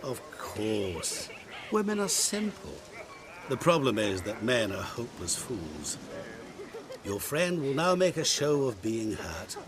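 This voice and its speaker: A sly devilish stranger. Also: male voice, mid-range voice, very animated, takes it slowly, a crowd in the background. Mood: mocking. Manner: silky, mocking and menacing. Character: sly, smooth-talking male devilish stranger. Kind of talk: video game cutscene acting.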